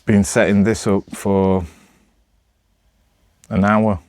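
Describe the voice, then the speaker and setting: A man's voice: fairly low pitch, level tone, takes it slowly, a little mellow, no echo, clean studio sound. A man with a Northern English accent chatting casually to camera, rambling in a hesitant, self-deprecating way. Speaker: amateur vlogger.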